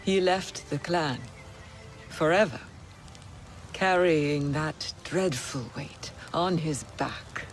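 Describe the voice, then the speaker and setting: An actress playing a measured, formal alien clan member who shares gossip and lore in a video game cutscene. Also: female voice, deep voice, soft background music. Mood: solemn, wistful.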